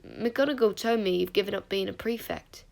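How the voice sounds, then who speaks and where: A woman acts out a friendly teenage schoolgirl in a storybook. She speaks with gentle concern, coaxing and soft-hearted, in a natural female pitch. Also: moderate pace, vocal fry, close to an everyday voice. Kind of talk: acted audiobook dialogue.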